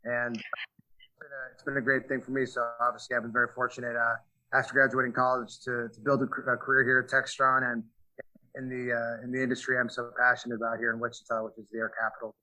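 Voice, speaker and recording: A man's voice: medium pitch, little pitch movement, quick pace, bright, forceful tone, rough recording. A man answering interview questions about his career, earnest, with many fillers and restarts. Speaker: value stream manager, guest. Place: aircraft manufacturing facility.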